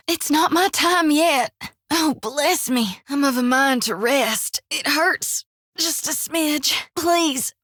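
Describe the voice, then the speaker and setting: A woman's voice: high voice, fairly bright tone, no room sound, full character voice. An actress playing a cheerful, devout young female priest who talks in a folksy drawl full of old-fashioned country slang. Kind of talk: video game voice lines. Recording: dry studio booth.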